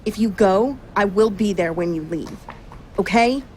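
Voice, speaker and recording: A woman's voice: medium pitch, unhurried, darkish tone, slight background noise, lightly acted. An actress playing a tender, earnest and wistful young woman in an audio drama. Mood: tender, resigned.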